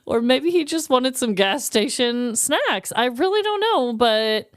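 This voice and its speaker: A woman's voice: a little high, animated, measured pace, no echo, studio quality. An American woman co-hosting a podcast in casual banter, with an emphatic, playfully exasperated way of talking. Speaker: podcast co-host.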